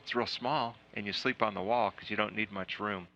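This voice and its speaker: A man, an astronaut, answering questions in a casual explaining style, halting with many fillers and restarts, on muffled lo-fi broadcast audio. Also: lowish voice, fairly even intonation, gravelly, pushed and bright, a little hiss or hum. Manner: halting, lots of uh and repeated starts.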